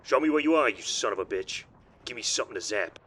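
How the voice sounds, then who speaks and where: A man voicing a gruff, battle-hardened lieutenant piloting a war machine in a military audio drama, with clipped, muttered or snarled callouts. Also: medium pitch, lively intonation, fast, fairly bright tone, pitched above the performer's own voice. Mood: grim, aggressive.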